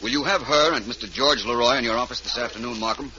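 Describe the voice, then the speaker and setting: A man's voice: medium pitch, quick pace, bright, forceful tone. A man playing a polite, shrewd private detective in an old-time radio mystery, polished and pointed in his questioning. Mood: brisk, assured. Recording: muffled, noisy old radio recording.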